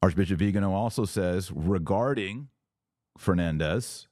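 A middle-aged man hosting a religious commentary podcast, speaking in deliberate, stressed phrases with pauses. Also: low voice, a little mellow, dry recording, clean studio sound. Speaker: podcast host. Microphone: close studio microphone.

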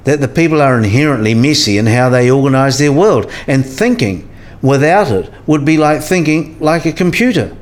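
A man's voice: mid-range voice, lively intonation, moderate pace, close to an everyday voice. A man playing a learned, philosophical professor and patient mentor in a scripted radio play, with a professorial way of talking. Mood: explaining.

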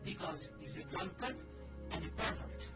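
A woman's voice: fairly low pitch, expressive, normal speed, loud music behind the voice, close to an everyday voice. A woman playing a defiant, indignant Indian wife seeking divorce in a radio play reading, emphatic and bitter. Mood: scornful.

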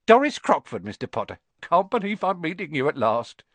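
A man voicing a gushing, excitable older woman in a storybook reading. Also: fairly high pitch, brisk, no echo, voice pushed up.

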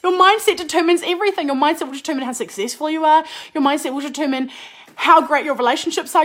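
Croaky, chatty high-voiced woman coach. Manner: chatty, animated, says "like" often. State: croaky after a cold. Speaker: business and mindset coach. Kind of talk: casual podcast monologue.

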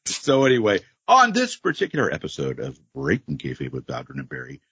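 A man co-hosting a casual wrestling podcast, jokey and animated, with frequent fillers and self-corrections. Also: rumbling low, brisk, slightly rough, no echo, dull audio. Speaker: podcast co-host.

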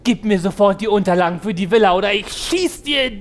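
A man's voice: sits high, animated, a little fast. Scheming, exasperated fake wife, played by a man. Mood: threatening. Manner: demanding, dramatic, impatient. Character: scheming, exasperated fake wife, secretly male, threatening murder. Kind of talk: improvised comedy audio play.

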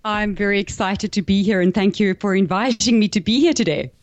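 A woman's voice: mid-range voice, brisk, dry recording. A woman in her forties with a South African accent, speaking as a podcast guest in an articulate, reflective and upbeat way. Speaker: podcast guest, business coach. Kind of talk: interview conversation.

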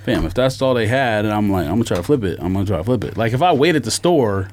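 A man's voice: fairly low pitch, lively intonation, quick pace, a little mellow, slight background noise. A man chatting casually on a podcast panel, with slangy, argumentative banter. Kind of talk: casual sneaker chat.

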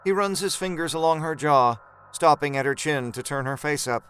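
A man narrating a horror story in a measured, suspenseful, dramatic storytelling style. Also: a little high, fairly bright tone, slight background noise, close to an everyday voice.

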